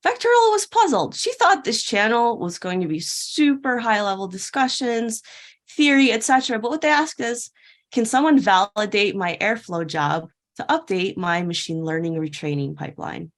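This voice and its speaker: A woman's voice: mid-range voice, expressive, normal speed. A woman delivering a prepared keynote talk in a playful storytelling way, posing questions and answering them. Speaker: keynote speaker at a tech conference. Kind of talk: prepared conference talk told as a fairytale parable.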